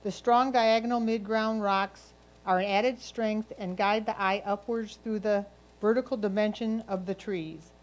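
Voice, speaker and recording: A woman's voice: medium pitch, poor audio. A woman reading written photo critiques aloud at a club competition. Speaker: reader of judges' comments.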